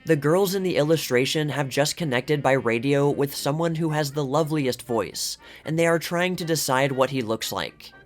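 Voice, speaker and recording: A man's voice: a little high, normal speed, hardly any pauses. A man giving a scripted narration, reading aloud from old magazine articles. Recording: music playing behind the voice. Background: background music.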